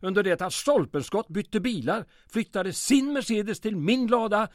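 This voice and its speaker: A man's voice: high-pitched, animated, dry recording, clean studio sound. A man playing a weary, sardonic small-town mayor in an old-time radio drama, with formal, wordy sarcasm. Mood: gloomy, resigned.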